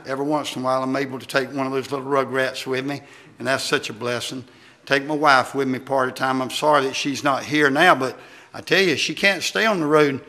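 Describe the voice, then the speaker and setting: Gravelly, bright-voiced older preacher man. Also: mid-range voice. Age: 60s. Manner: folksy, halting delivery with amens. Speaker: visiting preacher, former pastor. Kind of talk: sermon. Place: church sanctuary at a wooden pulpit.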